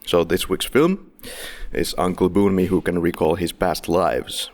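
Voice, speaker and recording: A man's voice: low voice, fairly even intonation, a little slow, a little hiss or hum. A man hosting a casual film podcast, making playful, jokey introductions. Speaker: podcast host.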